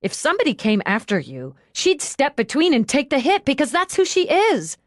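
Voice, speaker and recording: A woman's voice: high voice, wildly swooping pitch, moderate pace, dry recording, studio quality, voice pushed up. A woman voicing a bubbly, brash, affectionate young woman who gushes in slang, in an audiobook. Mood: earnest, pleading. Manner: gushing, slangy, exclamatory.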